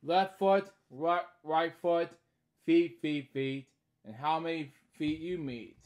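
A man in his thirties hosting a bedtime story series, reading a children's book aloud in short halting lines with casual, repetitive asides. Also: on the high side, darkish tone. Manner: halting, repeating stock phrases between short read lines. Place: small indoor room at home.

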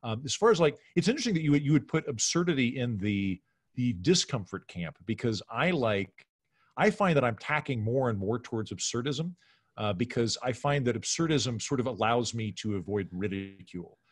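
A man's voice: mid-range voice, lively intonation, a little fast, breathy edge, dry recording, clean studio sound. A middle-aged man speaking casually as a podcast guest, in a discursive, analytical way. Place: small furnished room at home, video call.

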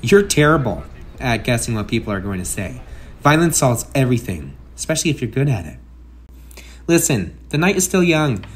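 A man's voice: mid-range voice, very animated, moderate pace, slightly rough. A man playing a brash, sarcastic masked antihero with a kind streak, in a theatrical comic book dub. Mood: brash, confiding.

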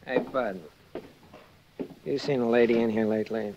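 A man's voice: mid-range voice, steady tone, moderate pace, background noise, natural voice. An actor playing a slick, sarcastic man with brusque, clipped delivery in a 1940s radio drama. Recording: muffled 1940s radio recording.

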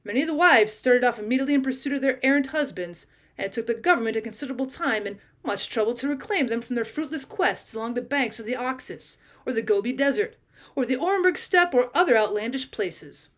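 A woman reading a classic short story aloud as scripted narration, expressive and deliberate, with emphasis on key phrases. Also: on the high side. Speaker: teacher reading audiobook.

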